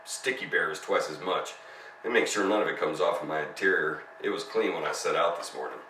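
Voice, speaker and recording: A man voicing a gruff, bitter taxi driver in a storybook, growling and grumbling. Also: deep voice, fairly quick, bright, forceful tone, reverberant, far from the mic, a little hiss or hum, low-quality recording.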